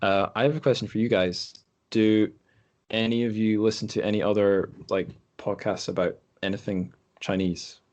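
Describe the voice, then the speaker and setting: A man's voice: lowish voice, steady tone, moderate pace, a little mellow. A man hosting a podcast in casual chat, feeling his way through rambling explanations. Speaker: podcast host.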